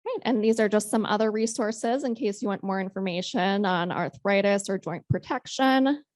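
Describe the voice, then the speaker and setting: A woman's voice: medium pitch, normal speed, a little mellow, no room sound, clean studio sound. A woman occupational therapist giving a practical presentation to a club, walking the audience through simple exercises in a friendly, instructive way. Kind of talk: health talk to a club.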